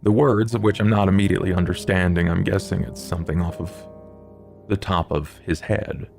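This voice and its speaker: A man plays a coolly analytical vampire sleuth for a tabletop game. The voice is very low and creaky, picking out elegant, formal words with measured pauses. Music sits underneath. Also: steady tone, a little mellow. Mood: grave, analytical. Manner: measured, halting, ornate formal wording. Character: Duke, formal, erudite male vampire investigator, coolly analytical. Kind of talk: tabletop roleplay in character.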